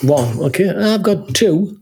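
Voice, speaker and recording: A husky, slightly breathy man chatting casually as a podcast co-host. He laughs a lot and keeps checking in with a quick "huh?". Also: high-pitched, expressive, warm tone. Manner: chatty, laughs often, tags lines with "huh?". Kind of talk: casual chat.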